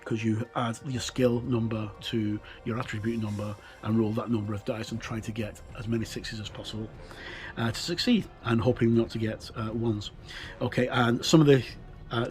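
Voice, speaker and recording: A middle-aged man giving an informal explanatory walkthrough as a gaming reviewer, halting as he thinks aloud. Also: medium pitch, darkish tone.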